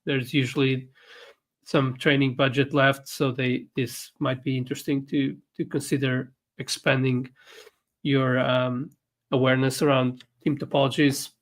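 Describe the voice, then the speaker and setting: A man whose first language is Portuguese speaks in English as a co-host. He talks hesitantly, with many fillers and soft laughs. His voice sits at a middle pitch. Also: flat delivery, measured pace. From Portuguese-speaking country. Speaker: co-host, runs online academy. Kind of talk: casual livestream chat.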